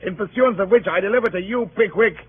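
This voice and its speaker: An actor playing a pompous, blunt law officer in a 1930s radio drama. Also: male voice, high-pitched, fast talker, breathy edge. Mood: officious, blunt. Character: officious, gruff male law officer serving a writ. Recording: tinny, band-limited 1930s radio recording.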